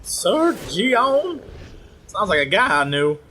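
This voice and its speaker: A man's voice: high voice, big pitch swings, slow pace, pushed and bright, slight background noise, exaggerated voice. A man voicing a goofy, confused ancient Egyptian man with casual slang and a teasing manner, while playing a co-op game. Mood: joking. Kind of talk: co-op game commentary.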